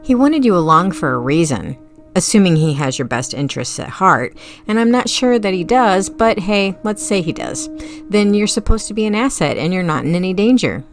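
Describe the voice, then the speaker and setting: A woman's voice: lowish voice, sing-song, moderate pace, faint music behind, pitched above the performer's own voice. A woman voicing an eager, teasing companion fascinated by the supernatural in an audiobook, earnest and casual. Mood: insistent.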